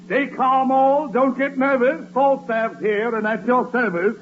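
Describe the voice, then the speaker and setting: An actor playing a pompous, hammy street poet, declaiming rhymes grandly in 1940s radio comedy style. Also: male voice, very high voice, measured pace, soft background music. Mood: bombastic. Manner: grandiose, theatrical, rhyming declamation. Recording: muffled, noisy vintage radio recording.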